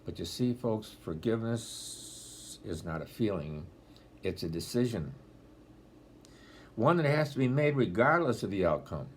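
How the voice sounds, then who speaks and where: A man in his eighties with a deep voice, speaking as a deacon in a homily-style talk, telling stories with gentle dry humor, filmed on a laptop webcam at home. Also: very animated, a little slow, a little hiss or hum. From Rochester, New York area. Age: 80s. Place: home room, indoors. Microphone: laptop webcam at close range.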